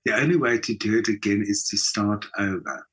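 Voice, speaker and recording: Older South African man, slightly husky. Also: on the low side, lively intonation, brisk, a bit bright. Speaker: interview guest, writer and performer. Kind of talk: casual reflective storytelling in an interview.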